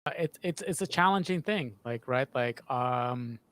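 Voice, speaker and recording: A man in his thirties, a sound designer, explaining things in a casual, halting way into a close microphone in a home studio. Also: mid-range voice, lively intonation, fairly bright tone, no echo. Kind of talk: casual explanatory interview talk. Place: home studio with acoustic panels. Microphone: close microphone on boom arm.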